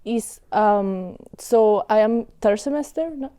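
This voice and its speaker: A woman's voice: mid-range voice, takes it slowly, dark tone, clear, resonant tone. A young woman from Colombia, a native Spanish speaker with a Colombian accent, talking in a casual interview with many fillers and restarts. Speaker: guest, student.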